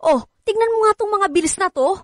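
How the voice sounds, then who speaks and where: A woman's voice: very high voice, expressive, normal speed, fairly bright tone, no echo, clean studio sound. A woman playing an exasperated, reproachful working wife and mother in a radio drama. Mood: exasperated, scolding. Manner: scolding, reproachful. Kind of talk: Filipino radio drama acting.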